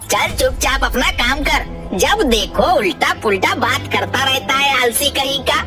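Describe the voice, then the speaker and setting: A woman voicing a gruff, curt farmer father in a village cartoon. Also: high voice, lively intonation, measured pace, pitched below the performer's own voice. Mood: irritated scolding. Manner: curt, scolding. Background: loud music.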